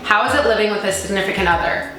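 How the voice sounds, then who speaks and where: A woman's voice: mid-range voice, fast talker, heavy reverb, loud music behind the voice, poor audio. A young woman from California, hosting a casual couple Q&A and chatting bubbly with lots of "like" and laughter. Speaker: vlogger and host.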